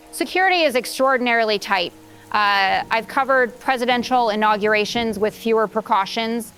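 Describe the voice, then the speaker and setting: Woman acting as an earnest field reporter, mid-pitched, composed, describing the scene, music underneath. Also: fairly bright tone, lightly acted. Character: earnest female correspondent reporting live on location. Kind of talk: scripted live news report.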